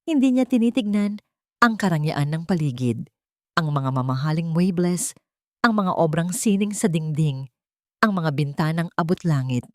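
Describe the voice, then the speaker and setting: A woman narrating a scripted drama story in a measured, dramatic storytelling manner. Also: low voice, lively intonation, darkish tone, dry recording, clean studio sound.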